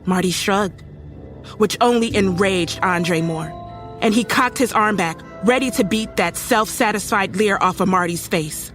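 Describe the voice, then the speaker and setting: A woman's voice: medium pitch, a little slow, fairly bright tone, close to an everyday voice. A woman performing suspenseful first-person horror narration as an uneasy young woman recounting a horrifying night. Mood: dread. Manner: serious, suspenseful storytelling. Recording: music faintly under the voice.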